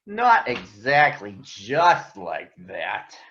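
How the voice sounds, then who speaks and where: A man's voice: fairly low pitch, huge pitch swings, extremely slow, a bit bright, exaggerated voice. A man voicing an earnest, plucky boy bear cub in a children's storybook, with an eager manner. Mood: matter-of-fact. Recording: dull, echoey room.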